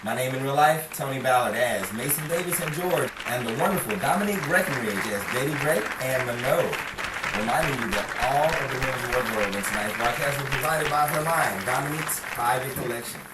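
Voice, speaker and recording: A man's voice: medium pitch, a little fast, a loud crowd. A man reading out cast credits as an announcer in the style of an old-time radio sign-off. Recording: very noisy crowded room, echoey, distant.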